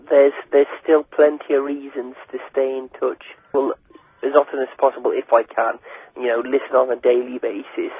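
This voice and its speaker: Young male caller, slightly high voice, casual, hesitant, tinny phone line. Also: husky, no echo. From England. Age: young adult. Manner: hesitant, repeats words and adds "like" and "you know". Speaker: radio listener giving his reaction by phone. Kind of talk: casual chat. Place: phone call. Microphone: telephone.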